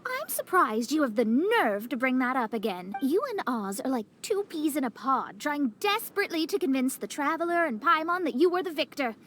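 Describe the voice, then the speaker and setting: Young game heroine: extremely high, lively, perky, frank and teasing, faint ambience. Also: female voice, brisk, hardly any pauses, slight background noise. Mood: indignant, teasing. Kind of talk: video game voice acting.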